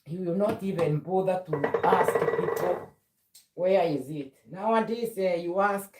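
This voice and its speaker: A woman's voice: lowish voice, measured pace, recorded from a distance, very noisy. A middle-aged woman whose first language is Swahili, chatting casually on a live stream while she does chores at home. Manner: chatty, moves around doing chores while talking. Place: home, indoors. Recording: roomy sound, speaker often away from the mic.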